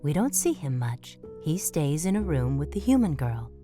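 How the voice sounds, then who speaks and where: A woman's voice: low-pitched, sing-song, normal speed, over music. A woman voicing a tender, patient mother mouse in an audio drama, soothing and wistfully devout.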